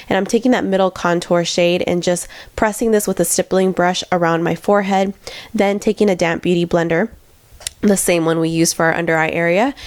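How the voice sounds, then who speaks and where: A woman's voice: on the low side, darkish tone, dry recording. A young woman narrating a makeup tutorial in a chatty, step-by-step way, her voice nasal from congestion. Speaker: beauty vlogger.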